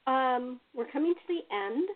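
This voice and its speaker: Higher-voiced woman on a phone-like line. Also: lively intonation, a little slow. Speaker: host. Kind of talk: casual group conversation. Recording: thin phone-like call audio.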